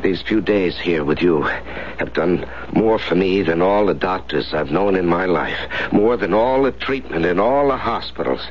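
An actor playing a gentle, remorseful hunted man in a radio drama, with a gravelly voice and a halting, earnest manner. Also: expressive. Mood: tender, grateful. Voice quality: deep, gravelly. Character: weary male fugitive, gentle, remorseful, hunted. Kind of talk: 1970s radio drama acting. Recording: dull, noisy 1970s radio recording.

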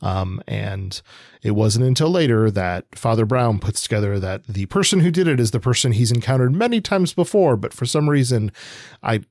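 A man's voice: medium pitch, lively intonation, normal speed, darkish tone, no room sound, studio quality. A man co-hosting a casual literary discussion, hesitantly thinking aloud in fragments. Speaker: podcast co-host.

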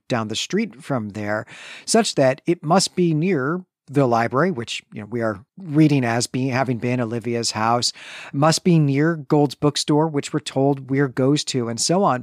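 Man, mid pitch, podcast co-host, measured, analytical, dry close mic. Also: no room sound. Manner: thoughtful, measured, with frequent self-corrections. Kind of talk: literary discussion podcast, summarizing chapters.

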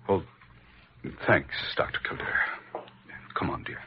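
An actor playing a stiff middle-aged father softened by doubt, halting and subdued, in 1940s radio drama style. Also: rumbling low, breathy edge, exaggerated voice. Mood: chastened. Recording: muffled, hissy vintage radio recording.

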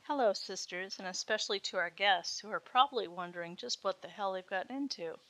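A teasing, bossy red-haired college senior girl, as a woman reader puts her on. She sounds self-assured and mocking, with a bright, sharp edge to her voice. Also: mid-range voice, fairly quick, natural voice.

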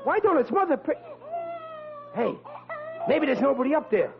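A man's voice: extremely high pitch, sing-song, moderate pace, heavily acted. An actor playing a bumbling, good-hearted young policeman with folksy blue-collar speech in a vintage radio comedy. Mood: worried, muttering. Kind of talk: 1940s radio comedy acting. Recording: noisy, muffled vintage radio recording.

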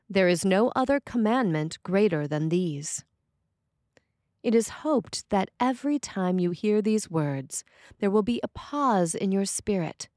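A woman reading a scripted sermon as a preacher, with measured, deliberate phrasing. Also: lowish voice, a little mellow, dry recording, studio quality.

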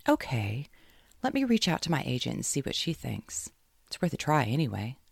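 Subdued, searching woman novelist, voiced by the narrator, gravelly, close and dry. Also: mid-range voice, huge pitch swings, normal speed, dry recording, exaggerated voice. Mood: weary. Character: lost, unsure woman writer.

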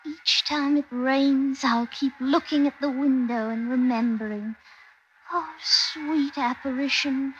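A woman's voice: high-pitched, fairly bright tone. An actress playing a wistful, lovelorn eighteenth-century London woman in a melodramatic old radio drama style. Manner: slow, melodramatic. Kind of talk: 1950s radio drama acting. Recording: dull, noisy vintage radio recording.

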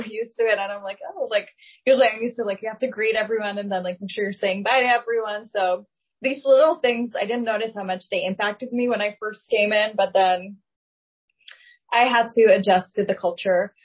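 A woman from Lebanon speaks English as her second language in a husky, mid-pitched voice. She is reflective and measured, qualifying her points as she goes in an interview conversation. The audio is somewhat dull and lo-fi. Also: fairly even intonation. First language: not English (English is second language). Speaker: guest.

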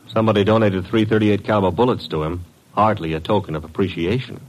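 A man's voice: fairly low pitch, fairly even intonation, quick pace, close to an everyday voice, rough recording. An actor playing a cocky, wisecracking detective's legman, wry and slangy, in an old-time radio drama. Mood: wry, breezy.

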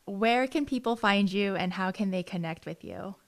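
A woman hosting a podcast, wrapping up an interview with friendly thanks and laughter. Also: mid-range voice. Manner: friendly, giggly, quick thanks. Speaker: podcast host. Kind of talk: casual interview.

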